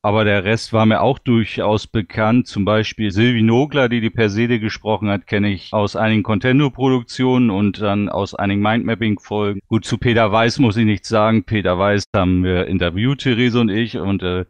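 A man's voice: on the low side, fairly even intonation, moderate pace, few pauses, no echo, lo-fi sound. A man chatting casually as a panelist reviewing audio dramas, enthusiastic and rambling as he recalls details.

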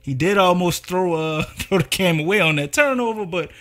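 A man's voice: high-pitched, animated, normal speed, fairly bright tone. A man co-hosting a sports podcast, chatting casually with slang and lots of "like" and "man.".

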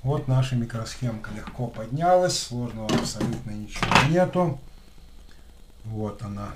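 A man's voice: mid-range voice, darkish tone. Lisping man in an echoey room. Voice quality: lisping after dental work. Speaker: electronics repair technician and video host. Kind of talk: casual hands-on tutorial commentary. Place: small room at a desk, indoors. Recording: roomy echo with clear background noise.

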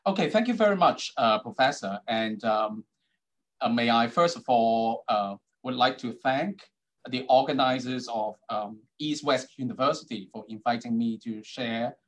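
A man's voice: mid-range voice, moderate pace. A middle-aged man with a Hong Kong accent, whose first languages are Cantonese and Putonghua, giving an online keynote talk over a video call, with many ums and uhs. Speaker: keynote speaker, university dean.

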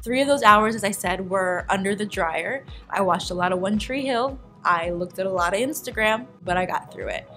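A woman's voice: mid-range voice, normal speed, hardly any pauses, pushed and bright, soft background music. A young woman with an American accent talking casually to her viewers in a playful, chatty, conversational way as a vlogger. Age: young adult. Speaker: vlogger reviewing a hair salon visit. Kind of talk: casual vlog narration to viewers.

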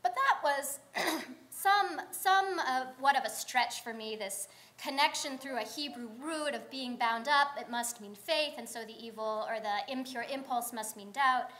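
Young woman rabbi, high voice, preaching slow and thoughtful from the lectern, big sanctuary. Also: pushed and bright. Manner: thoughtful, measured preaching with rhetorical questions. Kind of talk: sermon. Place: large wood-paneled synagogue sanctuary, standing at a lectern. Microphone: far fixed camera, lectern mic.

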